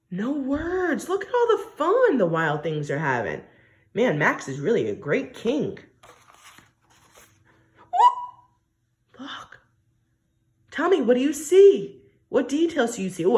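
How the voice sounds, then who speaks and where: A woman teacher reading a story aloud to young children, expressive and coaxing, often asking questions and stressing key words. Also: medium pitch. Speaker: primary school teacher.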